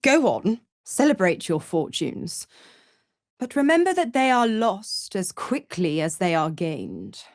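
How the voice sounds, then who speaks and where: A woman's voice: medium pitch, big pitch swings, slow, no room sound, studio quality. An actress voicing a seductive, cruel and smug female devil in a video game, with a teasing, condescending, theatrical drawl. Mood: gloating, crisp. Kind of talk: video game character voice acting.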